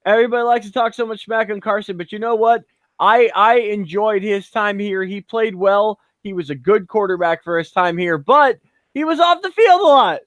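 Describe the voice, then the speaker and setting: A high-pitched man recaps a football game on a casual podcast. He speaks in a close, dry recording. He is excitable and jokey and swears freely. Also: normal speed, dry recording. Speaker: podcast co-host. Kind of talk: casual sports chat.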